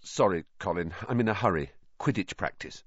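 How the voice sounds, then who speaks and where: A man's voice: sits low, a little fast, no echo, dull audio. Tired, put-upon schoolboy for an audiobook, read by a man: resigned delivery, patient but exasperated, close dry recording. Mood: flat, exasperated. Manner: flat, resigned, patiently explaining. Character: weary, reluctant, exasperated schoolboy hero.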